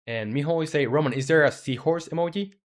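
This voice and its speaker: A man's voice: on the high side, fast talker. A man in his twenties chatting casually on a live stream as a game developer, rambling and thinking aloud with many fillers while answering chat questions. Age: 20s.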